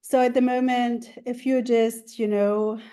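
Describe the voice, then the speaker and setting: A woman's voice: a little high, little pitch movement, measured pace, warm tone, clean tone. A woman in her thirties from Germany, a life coach answering interview questions in a hesitant way, with many fillers and restarts.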